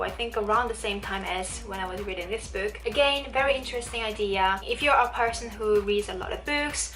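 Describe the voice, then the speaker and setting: A woman's voice: mid-range voice, fairly bright tone. A woman from South Korea with a Korean accent, chatting casually as she reviews books to camera, often circling back to rephrase. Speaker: video blogger. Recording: roomy echo, distant mic, faint music.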